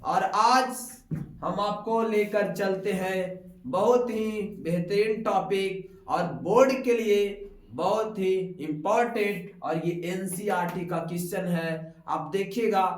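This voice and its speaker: A man from Bihar teaches a maths lesson at an unhurried pace, breaking each step down and pausing to quiz a pupil. His voice sits high for a man. The room around him rings with echo. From Bihar, India. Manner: explains step by step and keeps quizzing a pupil. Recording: echoey room.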